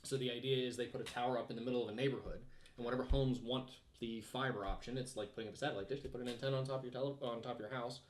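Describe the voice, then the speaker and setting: A man speaks as a podcast co-host in a very quick, mid-pitched voice. He explains technical details informally, as part of a casual discussion. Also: fairly even intonation, slight background noise. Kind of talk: casual explanatory discussion of gaming and tech news.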